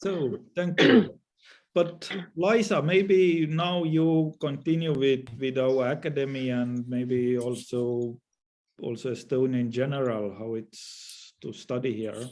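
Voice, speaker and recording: A man speaks slowly in a mid-range voice while moderating an online info session. He hands the turn to each speaker, and his voice comes through a video call. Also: medium pitch, steady tone, darkish tone. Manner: slow, handing the turn to others.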